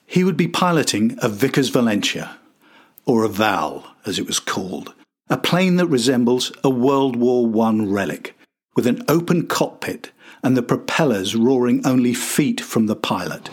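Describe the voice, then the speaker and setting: An older man reads scripted documentary narration as a podcast host. His voice sits at an ordinary pitch and has a husky, slightly rough edge. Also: sing-song, normal speed.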